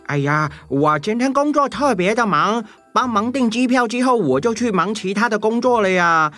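A man's voice: sits high, expressive, normal speed, sharp tone, no echo, soft background music. A man voicing a busy, flustered male meerkat hotel worker in a children's audio story.

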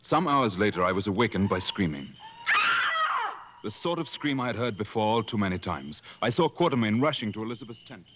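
An actor playing an earnest safari companion who also narrates the tale, speaking plainly in a 1950s radio drama. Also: male voice, fairly low pitch, animated, brisk, noisy background, natural voice. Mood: grave narration. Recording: muffled 1950s radio broadcast, music behind.

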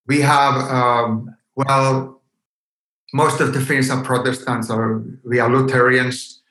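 A Finnish man in his fifties speaks at a slow, unhurried pace as an interview guest. He searches for his words in accented English, and the room adds a noticeable echo around his mid-pitched voice. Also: level tone, sharp tone. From Finland. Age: 50s. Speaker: podcast guest, yoga teacher. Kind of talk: unscripted interview conversation. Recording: roomy echo on the voice.

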